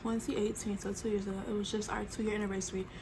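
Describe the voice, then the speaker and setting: A woman's voice: mid-range voice, level tone, fast, darkish tone. A woman, teasing her partner on a casual couple's vlog. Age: 30s. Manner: teasing, playful. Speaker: vlogger. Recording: noisy room.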